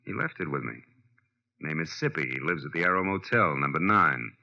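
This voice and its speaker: A man's voice: extremely low pitch, expressive, brisk, vocal fry, bright, forceful tone, faint music behind, exaggerated voice. An American man playing a cynical, wisecracking private detective in 1940s radio style, wry and clipped. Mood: wry, probing. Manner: wry, clipped, hard-boiled. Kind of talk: 1940s radio acting and first-person narration. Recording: muffled old-time radio recording.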